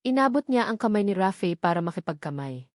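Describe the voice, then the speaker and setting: Female narrator, dramatic romance storytelling, scripted read, medium pitch, dry studio sound. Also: sing-song, a little fast, dry recording.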